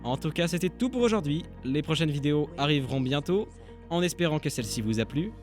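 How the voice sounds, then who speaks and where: A man's voice: on the high side, expressive, fast, soft background music. A man narrating a casual vlog as an amateur dubber, informal and self-deprecating. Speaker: amateur voice dubber and channel creator.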